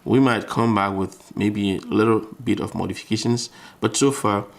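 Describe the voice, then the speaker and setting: Male tutor, low creaky voice, informal step-by-step electronics walkthrough with repeated phrases. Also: fairly even intonation, moderate pace. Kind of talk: explanatory tutorial, thinking aloud while demonstrating.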